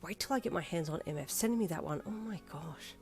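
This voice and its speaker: A woman's voice: low voice, fast talker, vocal fry, soft background music. A woman voicing an exasperated young woman who stammers nervously on the phone. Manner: hesitant, exasperated.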